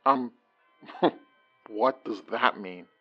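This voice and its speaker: An actor playing a serious grown son in an audio drama, casual and understated with dry good humour. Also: male voice, lowish voice, extremely slow, breathy edge, highs cut off, soft background music, full character voice. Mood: puzzled.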